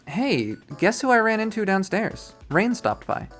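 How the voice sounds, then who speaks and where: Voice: mid-range voice, very animated, unhurried, fairly bright tone, soft background music, voice pushed up. A performer voicing a casual, eager, slightly awkward young visual novel protagonist with a questioning manner. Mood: friendly, curious.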